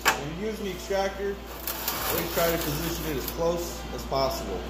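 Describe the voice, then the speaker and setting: A middle-aged man in a closed home garage, rambling and halting through a casual welding demonstration, filmed on a phone set on the bench. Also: on the high side, animated. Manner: rambling, halting with many fillers. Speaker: hobby welder vlogger. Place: closed home garage workshop with air conditioning running. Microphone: phone camera set on the bench, sometimes far off. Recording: faint air conditioner hum in a garage.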